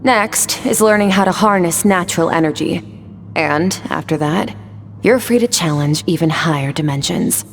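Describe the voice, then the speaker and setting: A woman acts out a cool young swordswoman mentor from a video game. Her voice sits slightly low, with an aloof, matter-of-fact delivery. Music plays softly behind her detached instruction. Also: moderate pace, big-room sound, a little hiss or hum, lightly acted. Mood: calm, instructive.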